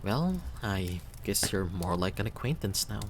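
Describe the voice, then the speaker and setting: A man's voice: low voice, lively intonation, a little slow, noisy background. A man playing a cheeky, chatty young traveler in a story game, talking in a playful, teasing, casual way.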